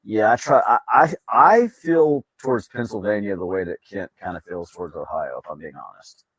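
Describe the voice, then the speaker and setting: A man's voice: on the low side, lively intonation, moderate pace, fairly bright tone, husky, dry recording. A man chatting casually in an agreeable, easygoing way. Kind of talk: casual chat.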